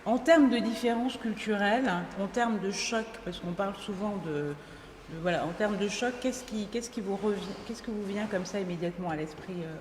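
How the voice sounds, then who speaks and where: A woman's voice: mid-range voice, moderate pace, a little mellow. A woman moderating an event and interviewing guests, guiding the conversation and rephrasing her questions as she goes. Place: large event hall. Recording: echoey hall, faint room noise.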